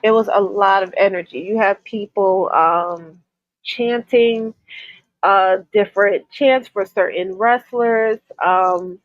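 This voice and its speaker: A woman's voice: medium pitch, slow, no room sound, muffled recording. A woman from New York co-hosting a casual wrestling podcast, sounding stuffy from a cold and talking with frequent ums and restarts. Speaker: co-host. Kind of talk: casual chat.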